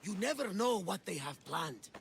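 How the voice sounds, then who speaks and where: A man playing a hearty, theatrical older sailor with a gravelly voice in a video game. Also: high-pitched, big pitch swings, moderate pace, a bit bright, slight background noise, full character voice. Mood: warm, musing. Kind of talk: video game cutscene acting.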